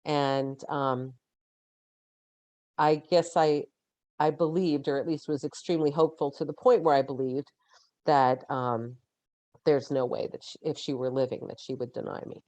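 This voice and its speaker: A woman in her fifties, a guest on a podcast interview, talking in an earnest, reflective way and pausing to find her words. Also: very deep voice, steady tone, darkish tone, no echo. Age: 50s. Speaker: guest, genetic genealogist.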